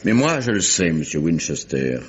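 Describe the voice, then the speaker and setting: An actor playing an imperious, insistent public prosecutor with formal, pointed questioning in a vintage French radio mystery. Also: male voice, low-pitched, sing-song, normal speed, a little hiss or hum. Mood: pressing, assured. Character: imperious, insistent English male public prosecutor interrogating witnesses. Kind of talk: 1950s-style French radio mystery acting. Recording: dull, muffled vintage radio recording.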